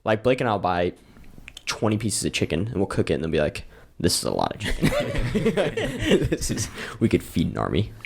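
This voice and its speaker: A man's voice: fairly low pitch, moderate pace, creaky. Young man joking in casual podcast chat. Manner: laughing, joking, animated storytelling. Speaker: podcast co-host. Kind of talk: casual chat among friends.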